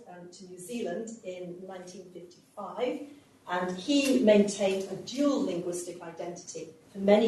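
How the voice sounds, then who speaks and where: A woman's voice: medium pitch, darkish tone, slight background noise. A woman professor giving a history lecture, speaking with fillers and restarts as she works through interview extracts. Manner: hesitant, many fillers and restarts. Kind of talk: public lecture with interview clips. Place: lecture hall. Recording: echoey hall, voice from a distance.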